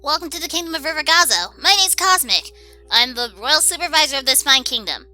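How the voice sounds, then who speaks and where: A brisk alien royal supervisor played by a woman hosts in a high, extremely bright voice. She talks quickly and informally, chatty as she presents an animated skit about her kingdom. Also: soft background music, exaggerated voice. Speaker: host.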